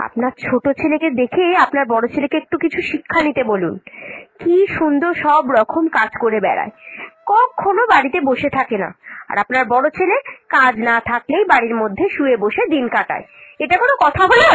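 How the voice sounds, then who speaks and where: A woman's voice: high-pitched, sing-song, moderate pace, fairly bright tone, poor audio. A woman voicing a discontented young daughter-in-law in a cartoon, whining and complaining. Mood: aggrieved. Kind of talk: cartoon voice acting.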